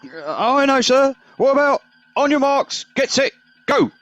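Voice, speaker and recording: A man playing an eager, excitable old corporal who repeats himself in a comic radio play. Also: very high voice, a little slow, no room sound, muffled recording, soft background music, exaggerated voice. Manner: eager, repetitive, deferential.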